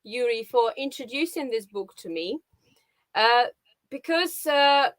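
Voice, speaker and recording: Bright-voiced Russian woman on a call. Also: a little high, lively intonation, unhurried, no echo. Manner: hesitant, with many fillers and restarts. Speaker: guest. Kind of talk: casual conversation on a live video call. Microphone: video call.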